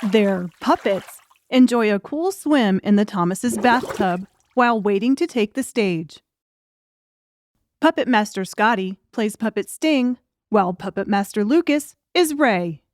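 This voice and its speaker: A woman's voice: medium pitch, animated, unhurried, slight background noise. A woman reading scripted audiobook narration as the storyteller.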